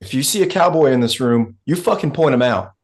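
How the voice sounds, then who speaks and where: A man's voice: medium pitch, lively intonation, fairly quick. Man playing blunt sarcastic oilfield fixer. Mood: exasperated. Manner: blunt, folksy, profane. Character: gruff, sarcastic, profane Texas oilfield fixer. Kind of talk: script table read.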